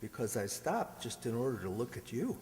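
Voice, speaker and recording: A man playing a bashful, courting young country man in a radio drama, earnest and halting. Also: medium pitch, very animated, a little mellow, lightly acted. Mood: tender, bashful. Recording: hall-like long reverb.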